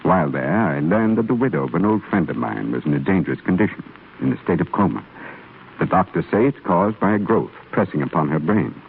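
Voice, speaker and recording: An actor playing a solemn, devout, fatherly stonecutter with measured, reverent delivery in a 1930s radio drama. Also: low-pitched, lively intonation, creaky. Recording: scratchy, muffled 1930s radio broadcast.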